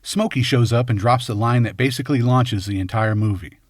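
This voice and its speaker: A man's voice: medium pitch, fairly quick. A man reading scripted film commentary as host, in a wry, tongue-in-cheek way. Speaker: video essay host.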